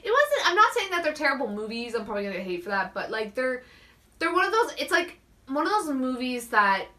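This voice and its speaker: A woman's voice: high voice, big pitch swings, quick pace, pushed and bright. A young woman with a Canadian accent chatting casually and effusively as a talk show co-host. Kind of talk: casual chat.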